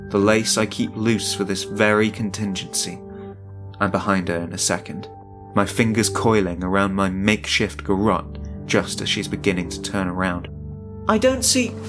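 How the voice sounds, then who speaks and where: A man reading a scripted first-person horror story as its narrator. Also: sits low. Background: music.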